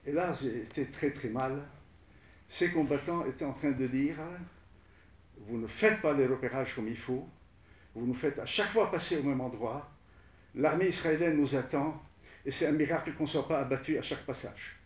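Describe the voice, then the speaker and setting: A man whose first language is Arabic, recounting his film work to an audience in a halting way, repeating words as he restarts. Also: medium pitch, recorded from a distance. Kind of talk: spoken recollection to an audience. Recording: echoey room, voice from off-mic.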